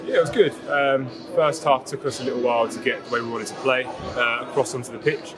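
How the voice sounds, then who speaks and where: Footballer with a flat, even voice. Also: male voice, mid-range voice, fairly quick, over music. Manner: relaxed, fluent, filler-heavy answers. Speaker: footballer, vice captain. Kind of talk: post-match interview. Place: outdoors at a football ground. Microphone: lapel mic.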